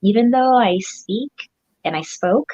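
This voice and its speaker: A woman's voice: on the high side, animated, a little slow, dry recording, highs cut off. A woman from Japan, a podcast guest, speaking English reflectively and hesitantly. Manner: soft-spoken, reflective, hesitant fillers. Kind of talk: casual interview.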